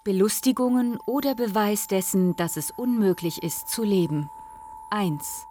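Woman announcing headings, very slow and measured, faint hum behind. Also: mid-range voice, mellow. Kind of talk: scripted narration.

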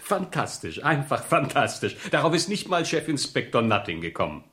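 An actor playing an arrogant, irritable murder suspect who is brusque, mocking and bossy, in a 1970s radio crime drama. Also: male voice, medium pitch, very animated, normal speed, a bit bright.

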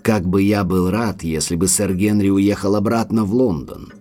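An actor playing a deferential, uneasy manor butler in an audio drama, grave and full of foreboding. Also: male voice, low-pitched, steady tone, moderate pace, dark tone, natural voice. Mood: anxious, foreboding.

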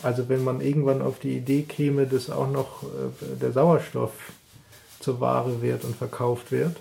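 A man's voice: mid-range voice, little pitch movement, measured pace, gravelly, warm tone. A man in an analytical discussion, thinking aloud in an abstract, reflective way.

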